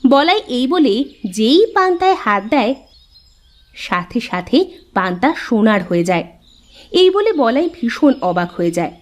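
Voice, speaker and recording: A woman's voice: fairly high pitch, sing-song, a little slow, pauses often. A woman reading scripted narration for a cartoon story.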